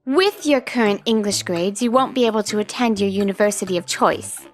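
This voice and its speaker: A woman's voice: mid-range voice, fairly quick, lightly acted. A woman voicing a strict young female teacher in a manga story, formal and proper but flustered underneath. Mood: strict, composed. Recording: music bed under the voice. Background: music.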